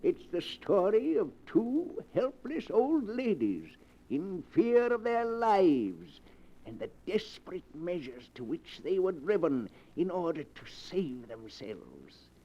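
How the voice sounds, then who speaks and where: High-pitched, eerie old horror storyteller. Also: male voice, sing-song, warm tone, breathy edge, heavily acted. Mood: sinister relish. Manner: theatrical, drawn-out, sinister delivery. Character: eerie, gloating old male keeper of a book of horror tales. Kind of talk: 1940s radio horror acting. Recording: muffled old-time radio recording.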